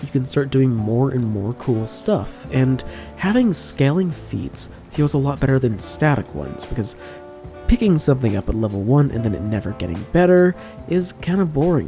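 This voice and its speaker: A man's voice: mid-range voice, warm tone. A man with a head cold and a nasal, congested voice, explaining tabletop game rules in an enthusiastic voice-over. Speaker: game guide creator. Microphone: new microphone.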